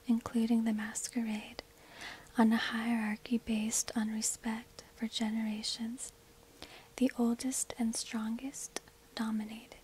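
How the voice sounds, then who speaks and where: A woman whispering breathily in a hushed, deliberate ASMR narration, close to a binaural microphone. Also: on the high side, husky, low-quality recording. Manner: slow, deliberate, hushed delivery. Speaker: ASMR video host and shopkeeper.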